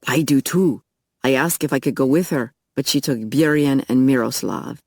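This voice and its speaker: Female reader as male Russian vampire henchman, gruff, blunt, lonely, audiobook villain. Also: a bass voice, moderate pace, a little mellow, no room sound, clean studio sound. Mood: sulky.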